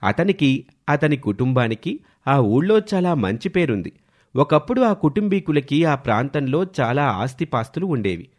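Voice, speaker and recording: A man's voice: on the high side, a little mellow. A man reading scripted narration as the storyteller of a village tale.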